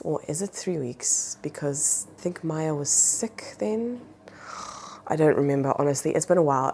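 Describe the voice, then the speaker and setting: Vlogger mom, 30s, deep for a woman, nasal from a cold, chatty, fillers throughout. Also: darkish tone. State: recovering from a cold. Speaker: plant vlogger, mother. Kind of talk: casual chat.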